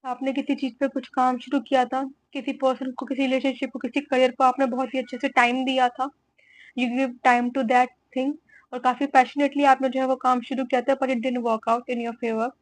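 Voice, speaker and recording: A woman giving a tarot reading, hoarse from a cold, talking slowly and softly while mixing Hindi and English. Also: high-pitched, flat delivery, low-quality recording. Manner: slow, soft-spoken, mixing Hindi and English. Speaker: tarot reader.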